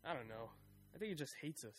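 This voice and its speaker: A man's voice: mid-range voice, lively intonation, fast talker, faint music behind, close to an everyday voice. A young man voicing a cocky, wisecracking slacker who talks in laid-back slang. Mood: joking. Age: young adult. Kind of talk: audio drama acting and narration.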